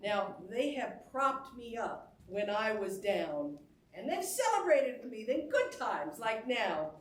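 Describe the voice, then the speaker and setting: Woman acting as gushing, high-voiced Hollywood star, roomy sound. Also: sing-song, slow. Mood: grateful, tender. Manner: effusive, folksy, chuckling between phrases. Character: exuberant, gushing female Hollywood star giving a thank-you speech. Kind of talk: theatrical staged-reading monologue. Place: indoor stage before a live audience. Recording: roomy stage sound with faint noise.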